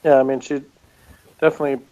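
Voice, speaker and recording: A man's voice: medium pitch, flat delivery, fast, warm tone, muffled recording. A man co-hosting a casual review chat, reading jokey notes aloud in a dry, wry way.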